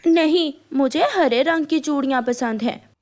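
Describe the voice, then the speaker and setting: A woman's voice: high-pitched, moderate pace, a little mellow, natural voice. A woman voicing a stubborn, fussy female pigeon with an insistent, complaining manner in a cartoon.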